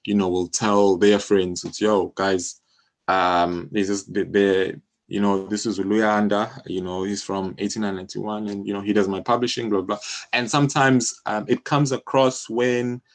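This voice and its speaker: A man's voice: on the low side, flat delivery, normal speed. A man in his thirties with a South African accent, explaining his work informally as an interview guest, often saying "you know". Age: 30s. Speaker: interview guest, music publisher. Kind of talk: conversational interview.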